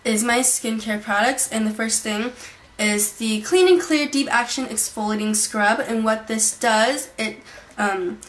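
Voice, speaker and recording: Chatty young woman with a lively high voice. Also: measured pace. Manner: chatty, rambling, many fillers and restarts. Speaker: beauty vlogger. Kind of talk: casual monthly favorites vlog. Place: small bedroom indoors. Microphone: built-in camera mic at desk distance.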